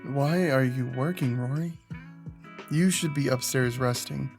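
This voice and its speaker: Guy acting as troubled earnest cop, audio drama, creaky voice over guitar music. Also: mid-range voice, a little slow, lightly acted. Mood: troubled, apologetic. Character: troubled, earnest male police detective seeking help.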